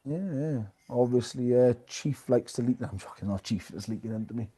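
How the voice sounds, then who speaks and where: A man's voice: mid-range voice, brisk, dark tone, breathy edge. A man co-hosting a sports podcast, chatting casually about rugby.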